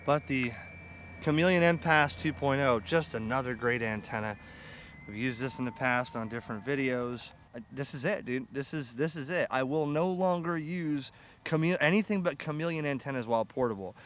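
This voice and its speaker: A man's voice: mid-range voice, moderate pace, fairly bright tone. A middle-aged American man chatting informally and enthusiastically in a rambling, unscripted vlog about his hobby gear. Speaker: ham radio hobbyist and video host. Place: outdoors on grass beside a pond under shade trees. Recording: outdoor camera audio with clear ambient noise.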